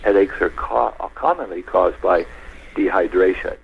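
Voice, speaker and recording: A man guest on a radio talk show, explaining health matters in a measured, anecdotal way, step by step. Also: low-pitched, gravelly, husky, poor audio.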